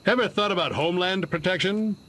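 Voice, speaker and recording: Bright-voiced fifties government agent. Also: male voice, high voice, a little fast, slight background noise. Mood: slick, urgent. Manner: slick, salesman-like patriotic patter. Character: smooth-talking, fervent 1950s anti-communist government agent. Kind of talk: campy video game voice acting.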